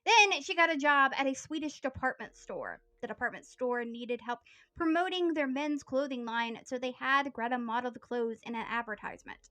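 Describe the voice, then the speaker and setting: Bright, high-voiced woman narrating history. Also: normal speed. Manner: earnest, reads prepared text in a steady storytelling way. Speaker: history podcast host.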